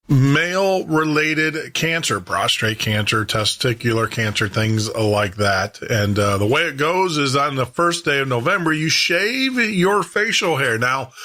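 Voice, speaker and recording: A man's voice: on the high side, sharp tone. A man hosting a radio show and podcast, talking to listeners in a casual, rambling, chatty monologue.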